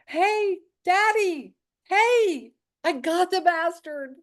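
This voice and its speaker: Woman acting a very high-pitched, desperate teenage girl. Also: expressive, very slow, heavily acted. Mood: defiant, taunting. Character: terrified, defiant teenage girl screaming for her father. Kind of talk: script table read of a horror film.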